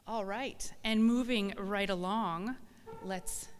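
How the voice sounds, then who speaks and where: A woman's voice: mid-range voice, slow, slight background noise. Woman hosting live in an echoey hall. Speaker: event host and presenter. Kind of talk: live stage hosting, informal announcements. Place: large indoor event space, live on stage.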